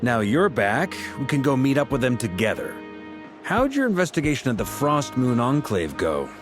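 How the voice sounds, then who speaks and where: Laid-back knight commander, wry and friendly, lively tone, music behind. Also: male voice, medium pitch, moderate pace. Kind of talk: game cutscene voice acting. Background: game soundtrack music.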